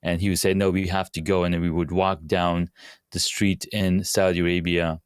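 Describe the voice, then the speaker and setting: A man from Pakistan, a podcast guest, answering questions in a measured, earnest way. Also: low-pitched, little pitch movement, clean tone, dry recording, clean studio sound. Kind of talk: casual interview answers.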